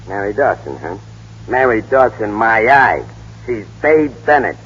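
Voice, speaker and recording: An actor playing a gruff, cynical fixer who talks in slang, in 1930s radio style. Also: male voice, fairly low pitch, big pitch swings, measured pace, a bit bright, full character voice. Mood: accusing, scornful. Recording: muffled, reverberant old 1930s radio recording.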